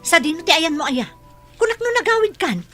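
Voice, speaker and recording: A woman's voice: extremely high pitch, very animated, brisk, pushed and bright, soft background music, heavily acted. A woman playing a defensive, complaining elder sister in an Ilocano radio drama, speaking with a Filipino accent. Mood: annoyed.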